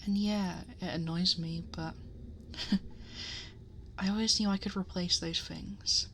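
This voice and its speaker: A woman playing a protective, possessive dragon girl in an ASMR roleplay, speaking softly and breathily, often in whispers, in an intimate, gently scolding and fussing way. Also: on the low side, steady tone, slow pace, a little hiss or hum. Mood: tender, fond. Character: possessive, worried, protective female dragon girl guarding her hoard. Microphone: close binaural microphone.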